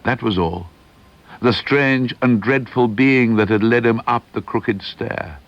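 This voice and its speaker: A man giving a measured, suspenseful reading of a ghost story, slowing and hushing at the eerie moments, on an old tape recording. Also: mid-range voice. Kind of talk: dramatic audiobook narration. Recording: dull lo-fi archival tape digitised from cassette.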